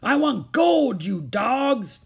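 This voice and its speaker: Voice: mid-range voice, big pitch swings, drawn-out words, vocal fry, slightly rough, pitched above the performer's own voice, exaggerated voice. A narrator voicing a big, mean, greedy pirate captain who growls and roars commands, in a storybook reading. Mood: roaring, greedy. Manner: bullying, growling, roaring commands.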